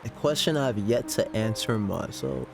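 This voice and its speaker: A man's voice: lowish voice, sing-song, moderate pace. A man giving casual reaction commentary as he plays through a video game. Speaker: gaming streamer reacting to a playthrough. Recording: game music behind the voice.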